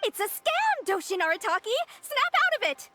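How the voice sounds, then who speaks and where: A woman's voice: very high voice, very animated, normal speed, music in the background. A woman voicing a distressed, earnest young granddaughter, plaintive and melodramatic, in a video game. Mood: exasperated.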